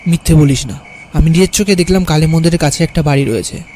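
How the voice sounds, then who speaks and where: A man playing a carefree, stubborn young city poet who tells his own tale in an animated horror story. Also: a little high, quick pace, slight background noise, natural voice. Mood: curious, dismissive. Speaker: narrator and lead character. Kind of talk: first-person story narration and cartoon dialogue.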